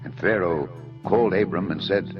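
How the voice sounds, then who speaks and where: A man with a very low voice narrates scripture as a dramatised reading. His delivery is solemn and measured, like formal storytelling. Music plays clearly behind him, and the audio is slightly dull. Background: background music.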